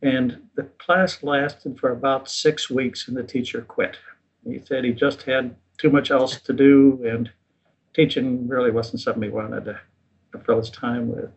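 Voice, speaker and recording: An older man in a casual interview, recounting his experiences in a relaxed, self-deprecating way. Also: medium pitch, unhurried, creaky, husky. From United States. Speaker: guest. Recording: dull lo-fi recording.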